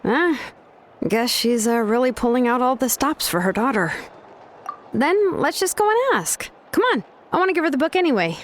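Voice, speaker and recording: A woman's voice: high voice, sing-song, moderate pace, a little hiss or hum. A woman playing a laid-back, practical young craftswoman in a video game, casual and matter-of-fact. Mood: helpful, casual.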